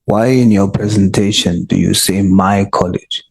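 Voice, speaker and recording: A man, a committee member pressing a witness with slow, pointed, repeated questions in a parliamentary hearing. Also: low voice, steady tone, vocal fry, husky.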